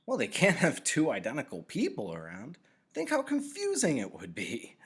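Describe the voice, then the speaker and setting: An eager, naive boy played by a man reading aloud in a storybook. He is earnest and chatty, full of curiosity, with a pitch lifted a little above a man's low talk. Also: huge pitch swings, normal speed, husky, exaggerated voice.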